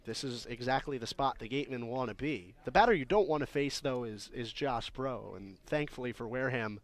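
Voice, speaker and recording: An American man provides color commentary on a live baseball broadcast. His voice is of average pitch, and his delivery is conversational, like talk between partners in a radio booth. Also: lively intonation, fairly quick.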